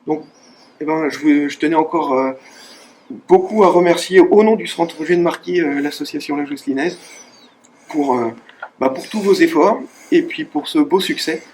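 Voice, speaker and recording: A man, a cancer researcher, giving a halting speech of thanks at a charity event, with many pauses and fillers. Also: on the high side, darkish tone, a little hiss or hum. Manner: hesitant, many fillers and restarts.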